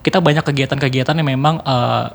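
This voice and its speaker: A man talks at a quick clip in a mid-range voice. He answers as an interview guest, laying out his points in an orderly, conversational way. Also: medium pitch, fairly even intonation, gravelly. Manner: explanatory, orderly, enumerating points. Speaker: youth organization board member, radio guest.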